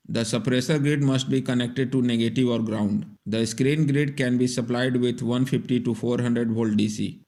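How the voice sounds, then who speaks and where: A man's voice: mid-range voice, darkish tone. A man narrating a scripted technical tutorial in a methodical, step-by-step instructional manner. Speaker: repair tutorial presenter.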